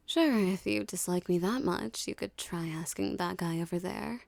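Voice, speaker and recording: A dominant female mafia boss, played by a woman for an ASMR roleplay, speaking in a mellow, creaky voice recorded close and dry. She teases and condescends softly, with seductive, menacing confidence. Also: medium pitch, no echo. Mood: coaxing, self-assured. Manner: slow, teasing, condescending drawl.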